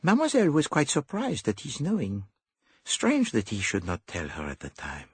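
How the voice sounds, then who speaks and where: A man's voice: mid-range voice, huge pitch swings, moderate pace, husky, no echo, pitched above the performer's own voice, heavily acted. A man voicing a fussy, silky detective with a put-on French accent. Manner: precise, silky, probing.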